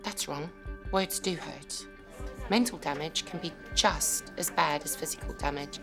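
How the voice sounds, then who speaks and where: A woman with a South African accent speaks earnestly in a documentary interview, in a lowish voice. Music plays underneath. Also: a little slow. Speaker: police call handler.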